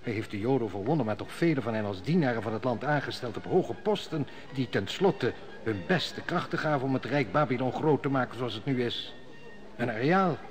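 An actor playing a dutiful, formal court steward in an old radio play. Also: male voice, medium pitch, animated, soft background music, lightly acted. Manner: formal, measured.

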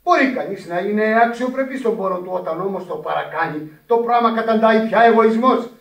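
Stern, high-voiced scolding parish priest. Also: male voice, expressive. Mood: scolding, indignant. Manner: admonishing, emphatic, formal. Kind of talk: radio drama acting. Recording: echoey radio drama, distant from microphone.

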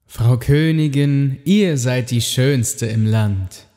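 Man as a slow, solemn magic mirror. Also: medium pitch, dark tone, long reverb tail. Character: solemn, truthful magic mirror in fairy tale.

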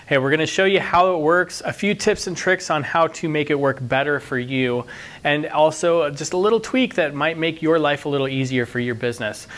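A man, explaining software step by step in an informal, instructional way. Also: medium pitch. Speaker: software tutorial presenter. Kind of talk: casual instructional screen-share narration.

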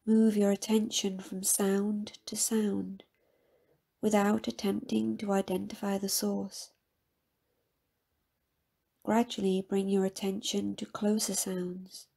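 A woman guiding a scripted meditation in a calm, measured, soft-spoken manner with a husky, breathy voice. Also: mid-range voice. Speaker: meditation guide. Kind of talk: guided meditation, scripted soothing narration.

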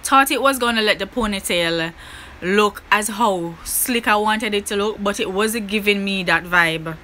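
A woman's voice: medium pitch, a little slow, sharp tone, slight background noise. A young woman talking casually to her camera in a bedroom, upbeat and chatty with her viewers. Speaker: vlogger. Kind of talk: casual vlog talk to camera. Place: small bedroom indoors.

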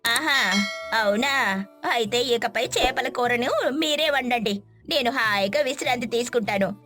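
A woman voicing an eager, delighted young city-bred wife in an animated moral story, with music behind her. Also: fairly high pitch, a little slow. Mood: playful.

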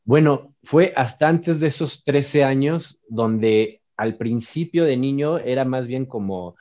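A man's voice: mid-range voice, slow, a little mellow. A man in his forties from Mexico City with a Mexico City accent, telling his story as an interview guest in an easygoing way from a home studio. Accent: Mexico City Spanish. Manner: easygoing, many fillers and tag questions. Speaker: musician guest. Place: home music studio, indoors. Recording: dull lo-fi video-call audio.

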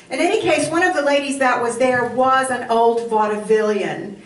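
Older woman lecturing in an echoey hall. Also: fairly high pitch. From Poland. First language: Yiddish. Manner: animated, does voice imitations. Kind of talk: storytelling lecture. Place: hall with curtains, indoors. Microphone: handheld mic. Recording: roomy hall from far off, faint noise.

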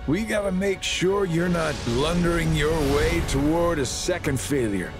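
An actor playing a cocky, sardonic older villain in a video game, with a gravelly drawl. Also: male voice, fairly high pitch, measured pace, close to an everyday voice. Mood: smug, taunting. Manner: sardonic, taunting. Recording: music under the dialogue.

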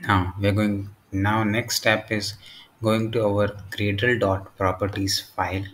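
Slow-paced, low-voiced man giving a tutorial. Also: flat delivery, a bit bright, a little hiss or hum. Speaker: tutorial presenter. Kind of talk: step-by-step software tutorial narration.

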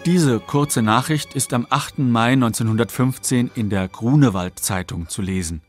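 A man narrating a scripted radio documentary in a measured, factual manner. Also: medium pitch, faint music behind. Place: studio.

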